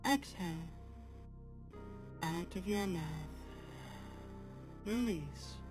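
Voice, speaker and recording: A woman voicing a polite, apologetic artificial-intelligence assistant on a livestream, speaking formally in measured, halting phrases. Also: fairly low pitch, over music. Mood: calm, guiding. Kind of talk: slow guided talk to a live chat.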